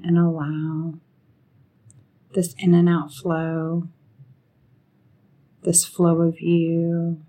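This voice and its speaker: Female meditation narrator: soothing and relaxed in tone, very slow delivery, short phrases with spacious pauses. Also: lowish voice. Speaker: guided meditation leader.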